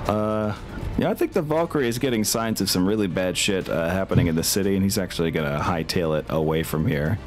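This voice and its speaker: A man's voice: deep voice, quick pace. A man running a tabletop game as game master, casually thinking aloud in an easygoing way. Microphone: online group video call. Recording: music behind the voice. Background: music.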